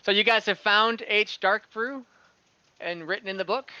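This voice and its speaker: A man narrating as game master of an online tabletop game, slow and halting, with many fillers. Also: sits high, bright, forceful tone, clean tone, highs cut off. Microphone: home microphone, online call.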